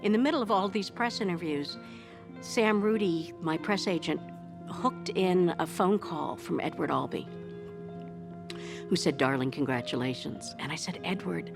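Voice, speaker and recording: A woman's voice: on the low side, normal speed, gravelly, over music. A woman playwright telling personal anecdotes in a documentary interview.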